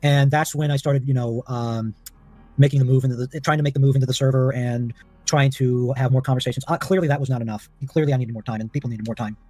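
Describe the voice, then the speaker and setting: A man on a dry voice call, talking quickly in a mid-range voice, with faint music behind him. He lays out his reasoning in a formal, measured way and at length. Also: mid-range voice, steady tone, dark tone, no echo. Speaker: person answering questions on a call. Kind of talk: careful explanation on a voice call.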